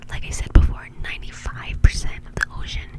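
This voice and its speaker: A woman whispering in an informal, chatty way, rambling casually as an ASMR host. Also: fairly quick.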